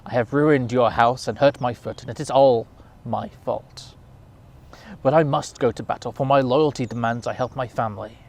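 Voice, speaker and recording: A man voicing a proud warrior lion in a storybook tale, speaking in loud, declaring tones. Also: mid-range voice, normal speed, close to an everyday voice. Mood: apologetic.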